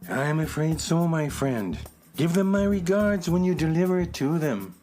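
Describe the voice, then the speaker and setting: A man playing a knowing, mysterious music box shopkeeper in a children's radio play, telling legends in a measured, portentous way. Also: fairly high pitch, lively intonation, natural voice. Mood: knowing, wistful.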